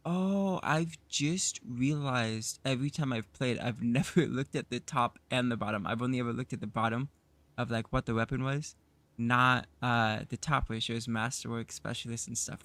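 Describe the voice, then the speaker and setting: Raspy, just-woken-up male streamer. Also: mid-range voice, normal speed, a bit bright, no room sound. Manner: rambling, self-deprecating, with frequent fillers and laughs. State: groggy, just woke up. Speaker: game streamer. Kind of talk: casual live-stream gameplay commentary.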